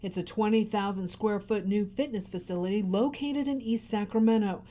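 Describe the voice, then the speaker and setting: Woman, American accent, TV reporter, scripted narration, warm dark tone, medium pitch. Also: moderate pace.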